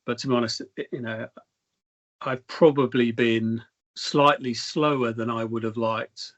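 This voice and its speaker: A middle-aged man, a company chief executive, answering interview questions in measured corporate phrasing full of fillers. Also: medium pitch, fairly bright tone. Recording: dull lo-fi sound.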